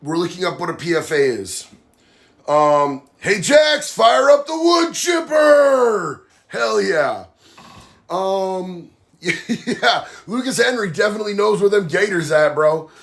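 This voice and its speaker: A man's voice: high-pitched, big pitch swings, takes it slowly, creaky, a bit bright. A man hosting a live stream, nasal from a sinus infection, talking bluntly and heatedly while reading viewer comments. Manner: blunt, heated, says bro a lot. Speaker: livestream host.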